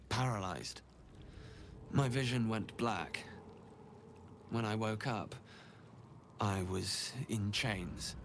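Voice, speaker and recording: A man's voice: medium pitch, background noise. A man playing a pompous, bawdy and put-upon city officer in a video game, with a florid comic delivery.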